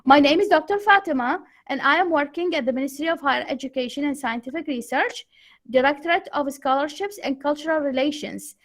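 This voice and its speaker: A woman's voice: high-pitched, fairly quick. A woman with an Iraqi Arabic accent leading an online English class in a chatty, encouraging way over a video call. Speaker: English teacher, course host.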